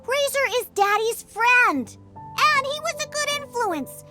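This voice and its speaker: High, bratty, indignant little girl. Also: animated, slow pace, sharp tone, soft background music, exaggerated voice. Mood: indignant, scolding. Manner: scolding, dramatic. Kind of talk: video game character acting.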